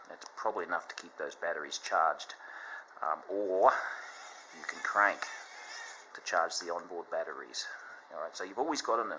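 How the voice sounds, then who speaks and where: A man giving a casual, unscripted product demonstration, explaining things in a halting, step-by-step way. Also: low voice, bright, forceful tone, slight background noise, poor audio. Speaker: product reviewer.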